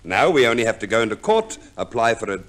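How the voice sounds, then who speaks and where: Fussy, scheming lawyer, swooping delivery. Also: male voice, medium pitch, sing-song, fast, a bit bright. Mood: relishing instruction. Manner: hesitant stammering, pedantic, precise. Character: fussy, shrewd male divorce lawyer, theatrically scheming. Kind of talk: 1950s radio play acting.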